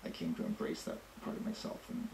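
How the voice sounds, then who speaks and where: A man's voice: fast, warm tone. A middle-aged Canadian man answering interview questions in a thoughtful, hesitant way, with frequent fillers. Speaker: interviewee, drummer and editor. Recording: echoey room with faint noise.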